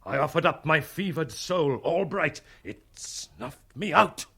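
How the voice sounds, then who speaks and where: An actor playing a blinded, obsessed old starship captain, declaiming grandly and poetically in a 1960s British radio drama. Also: male voice, on the high side, animated, takes it slowly, vocal fry, bright, forceful tone, breathy edge, heavily acted. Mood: haunted, rapturous.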